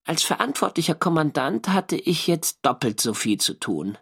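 An actor playing a German navy skipper who narrates his First World War diary in a measured, dry, literary way for a radio drama. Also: fairly high pitch, sing-song, vocal fry, fairly bright tone, breathy edge, faint music behind, full character voice. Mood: matter-of-fact.

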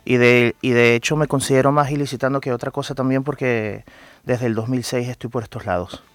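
A man speaks as a guest in a radio interview. He explains earnestly, often pausing on fillers, and his voice sits at a middle pitch. The sound is dry and close. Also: fairly even intonation, no echo. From Venezuela. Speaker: guest entrepreneur.

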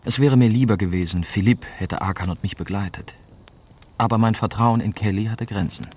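Low-voiced young male reporter narrating played by a man. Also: a little fast, background noise, lightly acted. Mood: matter-of-fact. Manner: sober, literary first-person telling. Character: young German newspaper correspondent narrating his dangerous investigation. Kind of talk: radio drama narration.

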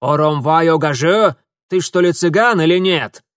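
A man voicing a cunning, wheedling young male Roma vagabond who pleads loudly in a folksy sing-song. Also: on the high side, normal speed, dry recording, clean studio sound, voice pushed up, natural voice.

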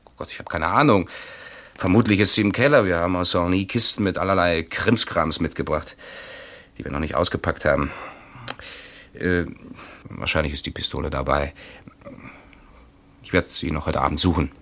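A nervous, evasive husband. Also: male voice, low-pitched, normal speed, creaky, long pauses, fairly bright tone, heavily acted. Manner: hesitant, evasive, stumbling. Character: nervous middle-aged husband questioned by police. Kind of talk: radio play acting.

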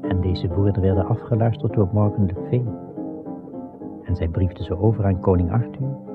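A man as a solemn, measured storyteller narrating a legend in a radio drama. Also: low-pitched. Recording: dull old radio recording. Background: plucked guitar-like music.

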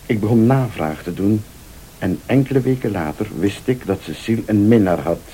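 An actor playing a vengeful rival in a radio thriller, a calm, sardonic accuser who speaks Flemish with deliberate, probing politeness. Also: male voice, on the low side, a little hiss or hum, natural voice, poor audio. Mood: wounded, bitter. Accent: Flemish Belgian. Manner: deliberate, insinuating, formally polite.

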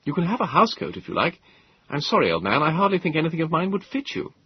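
A man voicing a young, cheerful and stubborn male inventor with a bright, brisk manner. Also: fairly high pitch, wildly swooping pitch, husky, muffled recording, voice pushed up, exaggerated voice.

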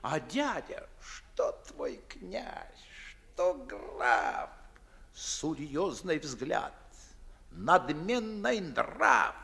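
High-pitched, swooping pompous old aristocrat. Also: male voice, big pitch swings, vocal fry, bright, forceful tone, exaggerated voice. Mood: admiring, animated reminiscence. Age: older. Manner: theatrical, declamatory, drawn-out emphatic phrasing. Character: pompous, fussy old aristocratic Moscow father and official. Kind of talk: 1950s stage-style radio play acting.

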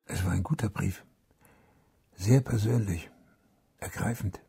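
An actor playing a haunted, self-accusing Vietnam War veteran who narrates a radio drama in a sober, confessional, terse way. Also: male voice, fairly low pitch, measured pace, creaky, dark tone, breathy edge, no room sound, full character voice. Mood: grim, pointed. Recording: studio radio play with music underneath.

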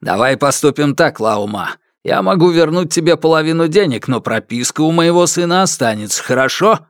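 A man narrator plays a shifty, defensive father of a household in an audiobook. He sounds indignant and evasive, with lively pitch in a dry, close recording. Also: normal speed, no room sound. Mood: bargaining. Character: defensive, shifty, callous family man under accusation. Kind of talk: audiobook character acting.

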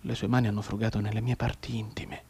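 An actor playing a mute, bedridden wounded man in an intense radio monologue, going between hushed brooding and anguished urgency. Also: fairly low pitch, flat delivery, fast talker, gravelly, slightly rough, exaggerated voice. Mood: tormented, subdued. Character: mute, bedridden wounded man desperately tapping out Morse code.

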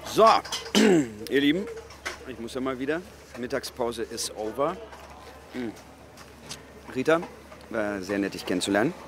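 An actor playing a breezy, teasing young journalist in a naturalistic radio drama, with a creaky voice and jokey colloquial manner. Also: male voice, mid-range voice, expressive, unhurried, pauses often, background noise, natural voice. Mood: breezy, friendly. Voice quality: creaky, gravelly.

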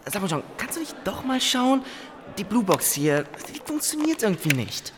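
A man's voice: sits high, sing-song, moderate pace. A man playing a starstruck, gushing young computer geek in a radio drama, exclaiming in short bursts, with noise around him. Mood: eager, excited. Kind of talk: German radio drama acting.

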